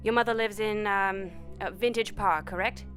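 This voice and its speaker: An actress playing a businesslike quarantine official in an audio drama, measured and evasive in her delivery. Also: mid-range voice, steady tone, a bit bright, soft background music, close to an everyday voice. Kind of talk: naturalistic audio drama acting.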